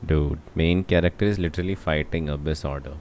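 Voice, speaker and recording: A man's voice: very deep voice, steady tone, fairly quick, a little mellow, a little hiss or hum. A young man with an Indian accent chatting casually and commenting as he livestreams a game. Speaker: game streamer. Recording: voice over game music.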